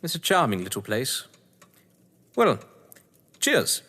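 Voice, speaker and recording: A man's voice: medium pitch, huge pitch swings, slow pace, pushed and bright, slight background noise, heavily acted. An actor playing a jovial, theatrical English crime novelist at his manor, genteel and effusive, in an audio drama. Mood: genial. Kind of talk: stage thriller audio drama acting. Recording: long reverberant hall echo.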